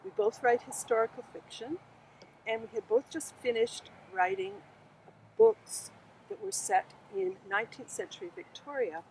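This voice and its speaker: A woman's voice: on the high side, sing-song, moderate pace, gravelly, a little mellow, a little hiss or hum, low-quality recording. A woman author giving an informal spoken introduction at a book launch.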